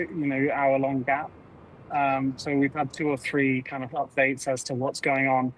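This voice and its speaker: Male passenger, husky and monotone, unscripted eyewitness telling, mid pitch. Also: low-quality recording. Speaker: delayed airline passenger. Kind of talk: spontaneous eyewitness account. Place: aboard a parked plane.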